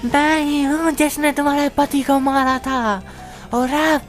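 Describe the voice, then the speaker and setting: A woman's voice: high-pitched, steady tone, takes it slowly, soft background music. A woman playing a murderous, commanding villain who speaks in threats in a melodramatic story. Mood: menacing. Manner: threatening, forceful.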